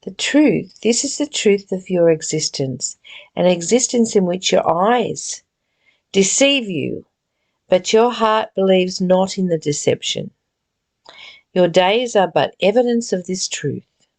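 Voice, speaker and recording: A woman giving a reflective spiritual reading and teaching in an earnest, deliberate, gently exhorting way. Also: mid-range voice, lively intonation. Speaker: reader and spiritual study teacher. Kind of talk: read-aloud spiritual text with reflective, informal commentary.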